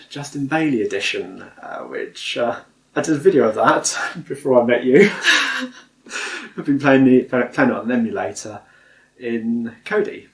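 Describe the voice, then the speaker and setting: British man, relaxed chuckly home-channel host, roomy sofa recording. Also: medium pitch, gravelly. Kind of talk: casual chat. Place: home living room sofa.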